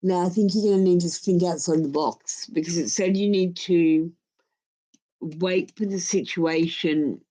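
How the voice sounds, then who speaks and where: Female live-stream tarot reader, unhurried and soft-toned, informal and plain-spoken in her advice. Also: fairly low pitch, rough recording. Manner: blunt, informal, sweary. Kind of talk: casual live tarot reading, giving advice.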